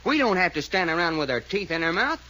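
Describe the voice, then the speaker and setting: A bright, high, swooping drawl from a folksy country boy, all slang and bluster. The voice is a little husky, and it carries the faint hiss of an old radio serial. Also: big pitch swings, fast, exaggerated voice, rough recording. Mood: boastful. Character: folksy country-boy male adventurer, brash. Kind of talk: 1940s radio acting.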